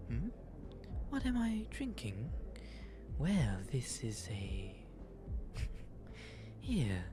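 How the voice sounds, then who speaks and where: A man acts out a genteel, bashful owl demon aristocrat for an ASMR roleplay. The voice is soft and breathy, slow but lilting with lively pitch. The diction is formal, teasing and self-deprecating, over a clear music bed. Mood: courteous, wry. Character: genteel, shy, playfully flirtatious owl demon nobleman. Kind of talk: ASMR roleplay, intimate audio drama. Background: music throughout.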